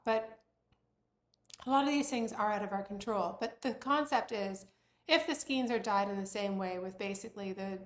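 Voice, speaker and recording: A woman in her forties or fifties from Bermuda, hosting a knitting podcast in casual chat, her voice a little croaky and her talk rambling with lots of fillers. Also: medium pitch, roomy sound. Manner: chatty, rambling with many fillers. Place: room at home with doors closed.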